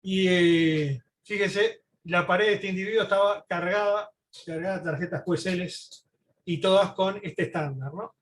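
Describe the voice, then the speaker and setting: A man giving an informal slide lecture, halting, repeating words and often adding short questioning tags. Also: sits high, fairly bright tone. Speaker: presenter giving a history talk.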